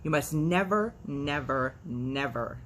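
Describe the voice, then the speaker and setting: A woman's voice: deep voice, lively intonation, takes it slowly, slight background noise. A woman voicing submissive storybook farm animals who speak in an earnest, cautionary manner. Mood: grave warning. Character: cautious, submissive barnyard animals warning a newcomer.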